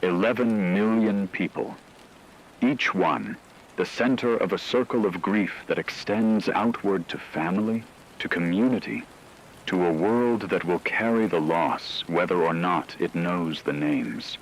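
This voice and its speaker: A grave actor as a male radio announcer delivers a formal emergency briefing in a low voice. His delivery is measured, solemn and technical, with light noise behind it. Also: poor audio. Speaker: broadcast announcer. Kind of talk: scripted formal news briefing.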